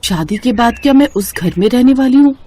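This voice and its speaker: A woman's voice: fairly high pitch, expressive, fairly quick, mellow, voice pushed up. A woman voicing a defiant, ambitious young bride in a Hindi cartoon story, dramatic and complaining. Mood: dismayed, defiant.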